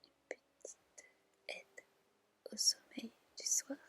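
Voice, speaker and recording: A woman hosting whispered ASMR, gently and soothingly guiding a single listener into relaxation. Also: slow. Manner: soothing, gentle, intimate address to one listener. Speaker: ASMR channel host and reader.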